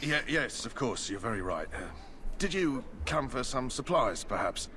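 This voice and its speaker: Hesitant army quartermaster over noisy ambience. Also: male voice, medium pitch, very animated, moderate pace, fairly bright tone. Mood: flustered, apologetic. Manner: stammering, hesitant, obsequious. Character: flustered, servile male army quartermaster, apologetic and shifty. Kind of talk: video game dialogue. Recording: noisy game ambience behind voice.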